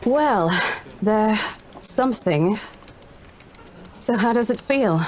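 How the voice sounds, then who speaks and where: A woman's voice: medium pitch, animated, slow, darkish tone, a little hiss or hum. A woman playing a brash, teasing, worldly female senior estate agent in a visual novel game, playful and informal. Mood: teasing, sassy.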